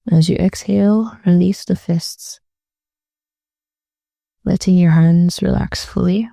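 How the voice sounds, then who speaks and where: Dark, soft-toned woman guiding meditation. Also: lowish voice, fairly even intonation, vocal fry, no echo, clean studio sound. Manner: slow, gentle, soothing delivery with long pauses. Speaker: meditation guide. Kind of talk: guided meditation narration.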